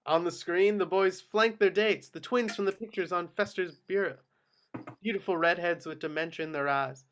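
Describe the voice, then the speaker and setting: Bright-voiced man reading stage directions. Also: high voice, normal speed, slight background noise. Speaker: action-line reader. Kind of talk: scripted narration of screenplay directions.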